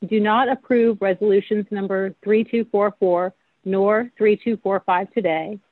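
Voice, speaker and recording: A woman advocate calling in to read a measured, prepared appeal at a public meeting. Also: mid-range voice, fairly even intonation, no echo. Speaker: advocate caller. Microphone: phone call. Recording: tinny phone line.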